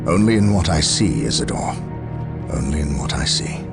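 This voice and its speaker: A man playing a stern, burdened space marine captain in a video game, speaking gravely and formally. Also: rumbling low, animated, moderate pace, over loud music. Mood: brooding. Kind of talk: dramatic video game acting.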